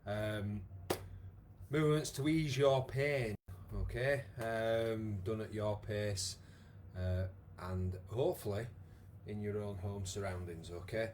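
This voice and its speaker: A man with a croaky voice, leading a live online exercise class with many fillers. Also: on the low side, steady tone, slow pace, a little mellow, a little hiss or hum. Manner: chatty, encouraging, lots of fillers. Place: home room with wall and bar. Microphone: device camera, moves away at times.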